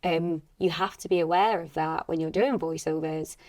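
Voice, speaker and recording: A woman with a slightly husky voice, talking casually and reflectively as a voice actress on a podcast. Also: low-pitched, steady tone, normal speed, a little mellow.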